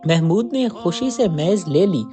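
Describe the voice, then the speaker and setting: A storyteller reading a scripted moral folk tale in an expressive way. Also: mid-range voice, a little slow, faint music behind.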